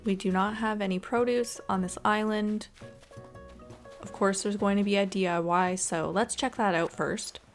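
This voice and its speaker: A woman giving casual, chatty and expressive voice-over commentary as she plays a video game. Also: medium pitch, normal speed. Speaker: gaming video creator. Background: background music.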